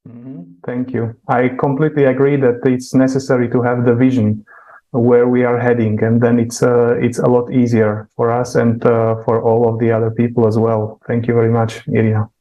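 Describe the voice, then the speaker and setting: Man, mid-pitched, monotone, hesitant discussion host, halting phrasing. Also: dull audio. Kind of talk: unscripted online discussion hosting.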